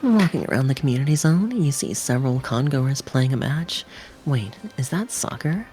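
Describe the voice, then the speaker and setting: Very low-voiced woman reading game text. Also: animated, normal speed, mellow. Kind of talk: reading video game narration and tutorial aloud. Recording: music behind the voice.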